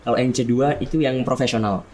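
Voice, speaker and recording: A teenage boy with a Javanese accent, a student guest on a school podcast, explaining things at length in a relaxed way. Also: mid-range voice, fast, slight background noise. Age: teens. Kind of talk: casual podcast chat.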